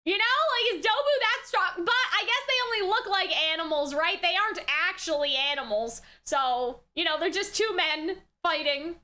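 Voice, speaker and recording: A woman giving casual, excitable reaction commentary as the host, exclamatory and slangy, swinging between shouts and mutters. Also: very high voice, normal speed, hardly any pauses.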